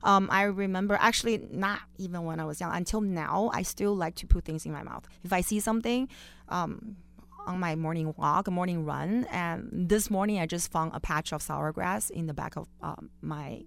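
A woman from China with a Chinese accent, telling personal stories as a chatty, animated interview guest. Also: lowish voice, moderate pace, vocal fry. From Beijing, China. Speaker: guest, chef.

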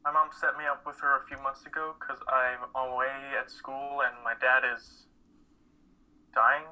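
A man's voice: medium pitch, flat delivery, measured pace, sharp tone, low-quality recording. A man playing a bitter, hurting young college student, blunt and confessional, in an amateur radio play reading. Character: bitter young male student with a dying father. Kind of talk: live dramatic play reading.

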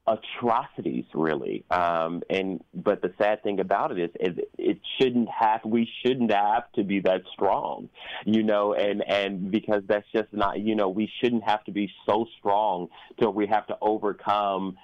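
Deep-voiced man in dull, lo-fi sound. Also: dry recording. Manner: reflective, halting, with repeated phrases and pauses. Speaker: guest, performer, teacher and activist. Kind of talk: earnest interview conversation about community events.

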